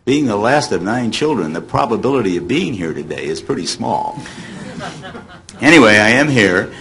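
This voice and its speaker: Older American man, slightly low voice. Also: sing-song, moderate pace, fairly bright tone. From United States, Illinois. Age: 70s. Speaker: guest panelist, former child radio actor. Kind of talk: casual reminiscing. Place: hotel meeting room at a convention. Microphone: table microphone on a stand.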